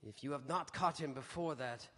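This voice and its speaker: A man's voice: medium pitch, moderate pace, sharp tone. A man playing an intense, grave older professor who hunts vampires in a live radio horror drama. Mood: grave, resolved. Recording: reverberant hall, live music behind.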